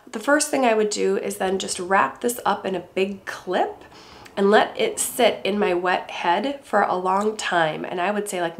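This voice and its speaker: A middle-aged woman with a congested voice from a cold, calmly explaining her hair care routine to camera at home. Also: medium pitch. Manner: calm, gentle, explaining step by step. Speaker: beauty vlogger. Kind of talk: casual talk to camera. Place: home room indoors.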